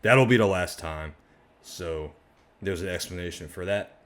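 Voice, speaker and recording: Hoarse man with a very deep, low voice, from Maryland, hosting a rambling, chatty fan channel. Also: measured pace. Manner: chatty, rambling, energetic asides. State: hoarse, voice worn out. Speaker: sports fan channel host. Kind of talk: casual fan reaction monologue.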